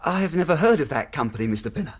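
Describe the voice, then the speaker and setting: An actor in a radio drama playing a modest, earnest young clerk, deferential and hesitant. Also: male voice, on the high side, sing-song, very fast, breathy edge. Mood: doubtful. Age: young adult. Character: modest, eager, naive young male stockbroker's clerk.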